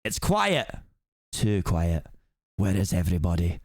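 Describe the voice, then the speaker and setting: A man's voice: low voice, big pitch swings, a little slow, no room sound, studio quality, pitched below the performer's own voice, exaggerated voice. A man doing a grumpy ogre in mock Scottish. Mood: gruff.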